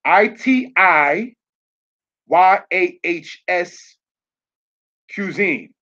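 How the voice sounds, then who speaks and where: A man's voice: high voice, animated, drawn-out words, pushed and bright. A man giving a religious lesson over slides, spelling words out letter by letter and checking in with "all right?" as he goes. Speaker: religious teacher. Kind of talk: slide-based online lesson. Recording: dull lo-fi audio.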